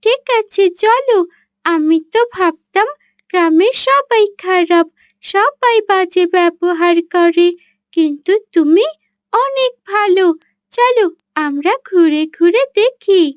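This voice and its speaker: Woman playing a high-voiced, earnest little cartoon daughter. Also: expressive, takes it slowly, dry recording, studio quality, heavily acted. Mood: friendly. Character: kind, worried young daughter, earnest and caring. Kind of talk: cartoon voice acting.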